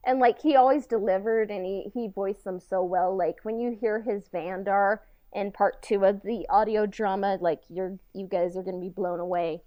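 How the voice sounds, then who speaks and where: A woman co-hosting a podcast, chatting casually in a halting, informal way. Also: mid-range voice, steady tone, no room sound, dull audio. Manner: halting, informal, frequent "like" and "you know". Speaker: podcast co-host and audio drama writer. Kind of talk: casual conversational chat.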